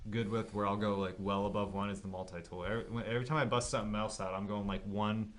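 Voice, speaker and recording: A man in his late twenties with a fairly low voice co-hosts a casual gaming podcast. He talks like he is hanging out with a friend, rambling, doubling back on phrases and swearing mildly. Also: steady tone, fast talker, a little hiss or hum. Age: late 20s. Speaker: podcast co-host. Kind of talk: casual chat between friends about games.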